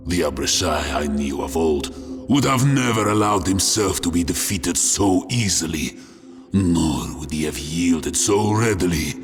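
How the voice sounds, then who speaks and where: Casting: male actor as grieving, merciless space warrior, slow and snarling, contemptuous, for sci-fi audio drama; long echo, music underneath. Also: deep voice, animated. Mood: cold contempt. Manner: slow, snarling, scornful enunciation. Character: grieving, scornful, brutal male super-soldier warrior. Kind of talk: dramatic science-fiction audio story. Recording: long cavernous reverb over music.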